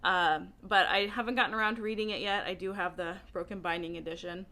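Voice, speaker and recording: A woman talking casually to camera as a book vlogger, with an animated, emphatic way of speaking. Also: lowish voice, quick pace.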